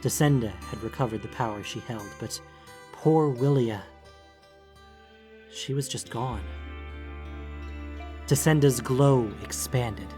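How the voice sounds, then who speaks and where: A man narrating a fantasy audiobook in a solemn, dramatic, deliberate manner. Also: medium pitch, a little mellow. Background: music.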